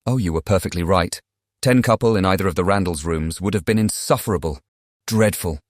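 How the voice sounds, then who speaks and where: A man acts out a gallant young gentleman of Regency England with a low British voice. He is polite, eager and persuasive, in a period audiobook drama with very little room sound. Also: fairly quick, dry recording, studio quality, close to an everyday voice. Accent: British English.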